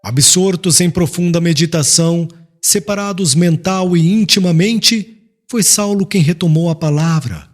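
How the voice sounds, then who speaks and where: A man's voice: high voice, unhurried. A man narrating a literary audiobook in a formal, dramatic manner.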